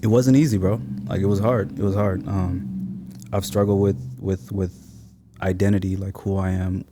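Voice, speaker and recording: A man's voice: sits low, flat delivery, moderate pace, background noise. A man in his thirties from Seattle, born in Somalia, talking reflectively and informally as an interview guest. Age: 30s. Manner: thoughtful, informal slangy phrasing. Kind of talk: reflective casual interview talk.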